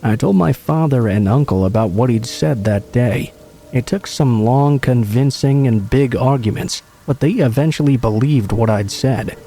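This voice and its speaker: A man calmly narrating true horror stories in an even, scripted read. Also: medium pitch, moderate pace, slight background noise.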